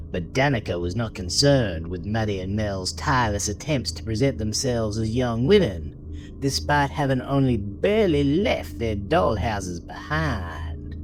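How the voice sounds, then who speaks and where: A man's voice: fairly low pitch, low-quality recording. A man narrating a scripted story in a dramatic style, as the narrator of an audio drama. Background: music behind the voice.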